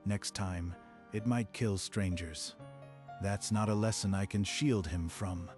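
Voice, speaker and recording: Man playing a grave, low-voiced expert. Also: steady tone, over music. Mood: grave, unyielding. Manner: measured, firm, unyielding. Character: grave, principled man, senior wildfire prevention consultant. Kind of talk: manga dub acting.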